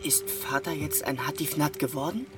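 A man's voice: fairly high pitch, normal speed, over music, lightly acted. An actor playing a curious young troll boy who asks eager questions, in a children's radio play.

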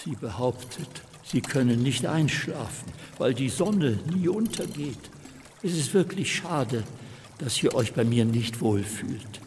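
A slow, lonely gentleman. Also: medium pitch, animated, a little hiss or hum. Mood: wistful. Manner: slow and friendly, a little wistful. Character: lonely old gentleman who builds a candy garden. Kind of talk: children's radio play acting. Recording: reverberant hall with music underneath.

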